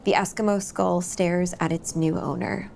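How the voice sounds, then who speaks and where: A woman hosting a table read, chatting briskly and then reading stage directions aloud. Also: fairly low pitch, fairly even intonation, vocal fry, darkish tone, slight background noise. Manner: brisk, upbeat, laughs easily. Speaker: host and script narrator.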